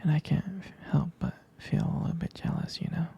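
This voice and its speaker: A man playing a jealous, devoted young boyfriend in an intimate ASMR roleplay, speaking in a hesitant, tender, breathy half-whisper. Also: brisk, mellow, highs cut off, close to an everyday voice. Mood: jealous, earnest.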